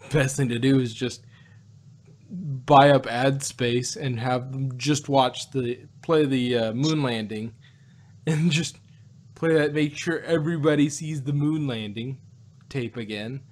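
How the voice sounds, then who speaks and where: A man in a casual review chat, talking in a halting, rambling way as a panelist. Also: mid-range voice, darkish tone. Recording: clear background noise.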